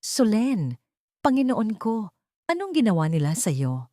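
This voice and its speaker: A woman voicing a gentle, caring old gardener, soft-spoken and soothing, in an audiobook drama. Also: mid-range voice, sing-song, measured pace, no echo, studio quality, voice pushed up. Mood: worried, tender.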